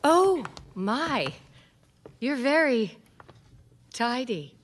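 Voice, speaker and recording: An actress playing an observant, shrewd woman investigator in a radio mystery, brisk and knowing. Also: sits high, sing-song, a little hiss or hum, heavily acted. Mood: curious. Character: observant, shrewd female detective's partner with keen memory. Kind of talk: radio mystery drama acting.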